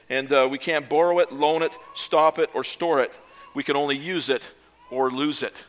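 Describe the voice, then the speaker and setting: An older male pastor preaches in an unhurried, reflective way, pausing now and then to find his words. His voice sits slightly higher than most men's. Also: a bit bright. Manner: measured, reflective preaching with occasional hesitations. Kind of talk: sermon.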